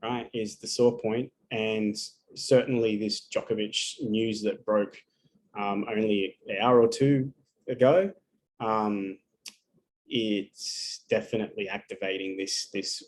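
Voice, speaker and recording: A man's voice: on the low side, steady tone, takes it slowly, darkish tone, low-quality recording. A man giving a live astrology reading over a video call, talking casually and bluntly and swearing often.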